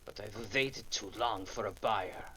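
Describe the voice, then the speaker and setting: An actor playing an urbane, cynical war profiteer in a 1940s-style radio drama, sly and boastful. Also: male voice, fairly low pitch, unhurried, pushed and bright, husky, full character voice, rough recording. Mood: smug.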